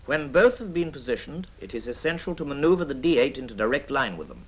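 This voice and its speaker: A British man reads scripted 1950s military training film narration in a measured, instructive manner with dry asides. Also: mid-range voice, sing-song, breathy edge. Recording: dull vintage 1950s film soundtrack.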